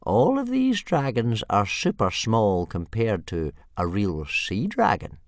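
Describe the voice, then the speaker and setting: A man's voice: lowish voice, wildly swooping pitch, no echo, clean studio sound, voice pushed up, exaggerated voice. A man doing Old Wrinkly, a wheezy old soothsayer. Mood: grandiose. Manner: grand, slow, swooping pronouncements. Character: old male village soothsayer, smug and rambling.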